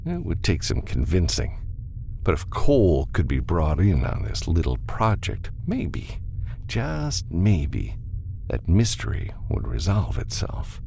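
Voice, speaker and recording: A male audiobook narrator with a very deep, creaky voice reads fiction from a script. His intonation is lively, moving freely up and down. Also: a little hiss or hum.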